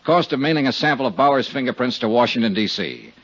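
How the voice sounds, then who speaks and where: A man's voice: medium pitch, very animated, fast talker, fairly bright tone. An actor playing a tough, weary insurance investigator who narrates his case tersely in 1950s radio drama style. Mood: grim narration. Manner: terse, hard-boiled. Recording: dull 1950s radio recording with music.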